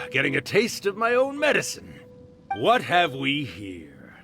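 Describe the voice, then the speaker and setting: A man's voice: mid-range voice, wildly swooping pitch, slow, vocal fry, sharp tone, soft background music, heavily acted. A man playing the theatrical, playful spirit of an old author in a video game, grandiose and teasing. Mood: bemused.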